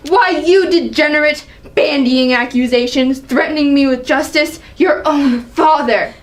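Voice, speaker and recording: A woman acts out a proud, angry king from Greek tragedy. The voice sits fairly high and carries imperious indignation. It is a dramatic reading of a father berating his son. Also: unhurried. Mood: accusing.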